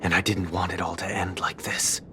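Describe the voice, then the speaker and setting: Deep, formal young nobleman. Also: flat delivery, sharp tone, a little hiss or hum. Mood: grave. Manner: measured, formal, solemn. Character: composed, dignified, formal young male family leader. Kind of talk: dramatic video game voice acting.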